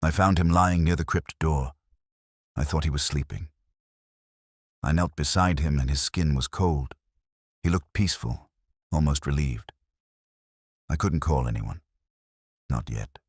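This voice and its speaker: A man's voice: very deep voice, a little slow, long pauses, husky, dry recording, clean studio sound, exaggerated voice. A man voicing a grieving, secretive, fearful woman making a hesitant, subdued confession in a narrated detective drama. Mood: subdued, afraid.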